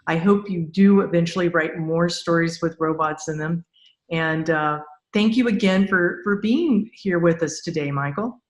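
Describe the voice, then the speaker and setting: A woman's voice: lowish voice, moderate pace. A woman speaks as the host of a podcast interview. Her delivery is conversational, appreciative and encouraging toward her guest. Her voice sits in a roomy, echoey sound.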